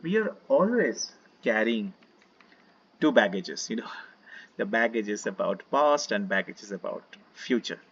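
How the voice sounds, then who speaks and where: Man, Indian accent, gentle meditation teacher, husky tone, muffled voice-chat sound. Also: fairly low pitch, unhurried. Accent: Indian English. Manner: relaxed, gentle, many fillers, invites listeners to join in. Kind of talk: casual online session talk.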